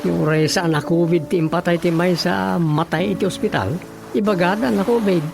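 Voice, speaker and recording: A village man from a Filipino radio drama, with an Ilocano accent. His voice sits high for a man, and music plays prominently underneath. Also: normal speed, creaky. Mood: concerned. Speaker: radio drama narrator and actor. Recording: music bed behind the voice.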